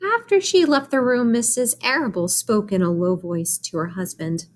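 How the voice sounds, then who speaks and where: A woman doing an expressive read-aloud for children, speaking directly to her young listeners in an animated way. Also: mid-range voice, normal speed.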